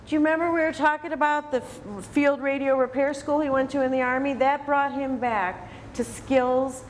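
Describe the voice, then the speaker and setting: Female American interviewer, chatty and affirming, slightly high pitch, conversational delivery. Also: fairly even intonation, moderate pace, a little hiss or hum. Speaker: interview host.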